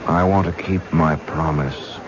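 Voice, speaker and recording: An actor playing a hesitant, politely menacing killer in a radio drama. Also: male voice, rumbling low, steady tone, a little slow. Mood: quietly menacing. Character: hesitant, soft-spoken male killer stalking women. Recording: noisy, muffled vintage radio broadcast.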